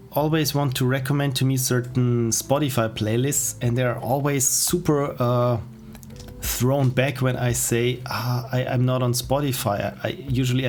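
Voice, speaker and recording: A middle-aged man with an Austrian accent, chatting casually and rambling as he edits on a livestream, with soft laughs and restarts. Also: mid-range voice. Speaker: streamer. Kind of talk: casual livestream chat while editing. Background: faint music and keyboard typing.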